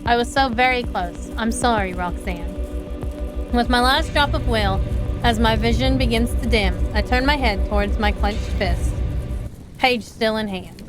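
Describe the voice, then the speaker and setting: Girl streamer reading a visual novel out loud, kinda high voice, game music behind her. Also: a little slow, rough recording.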